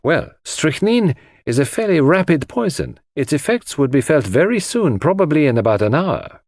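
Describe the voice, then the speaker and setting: A man's voice: on the high side, big pitch swings, normal speed, a little mellow, dry recording, clean studio sound, pitched above the performer's own voice. A man voicing a fussy, shrewd older detective, precise and theatrical in an audiobook. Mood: deliberate, shrewd. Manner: precise, theatrical, formal phrasing. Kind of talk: audiobook character acting.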